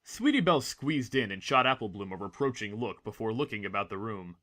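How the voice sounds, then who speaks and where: A male narrator with a fairly low, crisp bright voice. He reads a scripted story in a dramatic storytelling style. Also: expressive, fairly quick.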